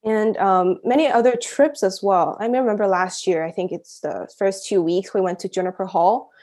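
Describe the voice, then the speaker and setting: A woman's voice: medium pitch, moderate pace, a little mellow. A young woman from Shanghai chatting casually as an interview guest, giggly and scattering "like" through her talk. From Shanghai, China. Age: young adult. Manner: chatty, giggly, frequent use of "like". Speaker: interview guest, university student. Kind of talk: casual chat, personal anecdotes.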